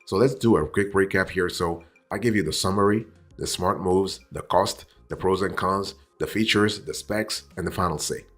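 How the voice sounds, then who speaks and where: A man giving an explanatory review as a host, deliberate in delivery, repeating phrases and checking in with the listener as he goes. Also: sits low, fairly bright tone. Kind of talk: explanatory software review, semi-scripted.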